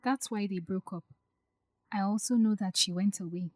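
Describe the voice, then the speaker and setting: A woman's voice: medium pitch, normal speed, a little mellow, no echo, lightly acted. A woman playing a guarded, insecure young woman who confides earnestly, in an audio drama. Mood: bitter, resigned.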